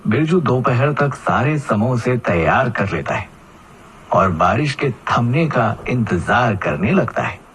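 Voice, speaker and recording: A man's voice: medium pitch, sing-song, takes it slowly, fairly bright tone, a little hiss or hum. A man narrating a scripted children's cartoon story as its storyteller.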